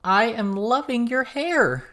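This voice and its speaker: A man voicing a playful, excitable teenage girl with lilting delivery in an audiobook. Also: extremely high pitch, slow pace, pitched above the performer's own voice, heavily acted. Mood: gleeful.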